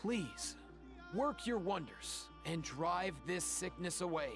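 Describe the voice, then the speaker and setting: A man's voice: high voice, lively intonation, takes it slowly, gravelly, heavily acted. A man playing a laid-back, friendly healer in a fantasy video game, with an earnest, easygoing delivery. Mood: solemn. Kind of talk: video game character acting. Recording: game music behind the voice.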